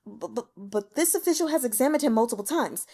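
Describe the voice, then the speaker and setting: A woman's voice: a little high, animated, fast talker, warm tone. A woman playing a timid, fearful elder male court healer who speaks formally and hesitantly. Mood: nervous, insistent. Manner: nervous, hesitant, stammering, formal.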